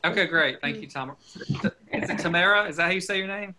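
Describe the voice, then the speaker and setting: A man, a pastor, leading a small group on a video call in a friendly way, asking quick questions and laughing often. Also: sits high, bright, forceful tone.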